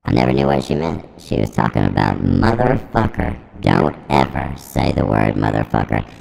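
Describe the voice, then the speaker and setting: A man in his forties rambling through a deadpan, profane improvised comedy monologue, his voice run through a pitch-shifting voice transformer. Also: moderate pace, gravelly, low-quality recording. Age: 40s. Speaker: comedic host demonstrating a voice-effect device.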